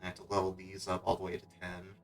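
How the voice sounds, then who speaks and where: A man's voice: low voice, monotone, fast talker, faint music behind. A man chatting casually and a little hesitantly as he streams a game, in an informal, rambling way. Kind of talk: casual livestream gaming chat.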